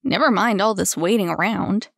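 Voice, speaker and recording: A woman's voice: medium pitch, normal speed, vocal fry, no echo, studio quality. A woman voicing a cheeky, laid-back young man with a teasing, casual manner.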